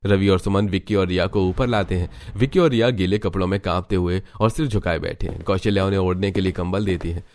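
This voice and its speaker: A man narrating a Hindi cartoon story in an even storytelling voice. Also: deep voice, quick pace, hardly any pauses, slight background noise.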